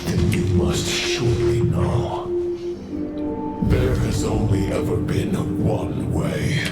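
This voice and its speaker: A man's voice: lowish voice, huge pitch swings, slow pace, full character voice. A man voicing a grim, fatalistic warrior in a video game, speaking in a solemn, declamatory manner. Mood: grave, accusing. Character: grim, fatalistic male warrior brooding on justice and fate. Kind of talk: dramatic video game voice acting. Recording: reverberant voice under loud music.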